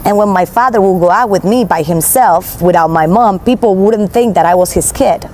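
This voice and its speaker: A woman's voice: mid-range voice, normal speed, a little mellow, a little hiss or hum. A woman from the Dominican Republic earnestly explaining her family story in a personal video.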